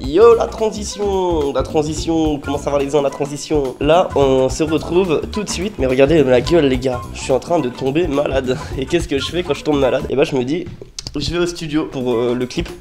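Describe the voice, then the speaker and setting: A young man with a head cold talks to his viewers in fast, slangy bursts, his nose clearly blocked. His voice sits a little high for a man. A music track plays clearly under everything he says. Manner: slangy, quick and excitable, addresses viewers as "les gars". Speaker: rapper making a track. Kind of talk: casual vlog chat to viewers.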